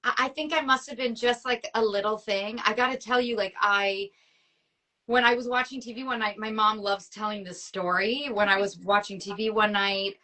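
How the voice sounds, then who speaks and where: Bright, chatty woman from Canada, native French speaker. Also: mid-range voice, steady tone, normal speed. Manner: chatty, peppered with "like", laughs often. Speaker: actress guest. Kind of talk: casual chat.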